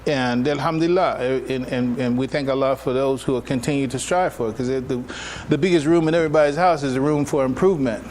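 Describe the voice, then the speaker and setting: A man's voice: medium pitch, fast talker, a little mellow. A man speaking as an imam in an informal public religious lecture, emphatic and repetitive, often checking in with his listeners. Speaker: imam giving a public address. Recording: live address with clear background noise.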